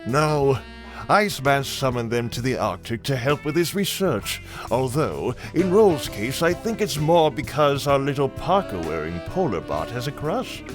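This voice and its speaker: A genial older man of science, played by an actor for a comic dub. He sounds erudite and philosophical, mid-pitched with lively intonation over faint music. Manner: erudite, measured. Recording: music behind the voice.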